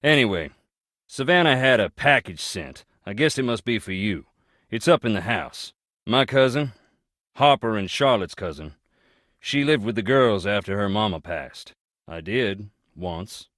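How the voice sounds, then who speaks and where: Low-voiced, brooding Southern man, haunted and blunt. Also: expressive, a little slow, fairly bright tone, no room sound, studio quality. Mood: ominous. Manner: folksy, blunt, ominous. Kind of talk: video game character dialogue.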